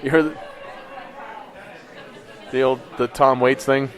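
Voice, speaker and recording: A man's voice: mid-range voice, flat delivery, slow pace, some chatter behind. A man co-hosting a casual comedy podcast, easygoing and joking. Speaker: podcast co-host.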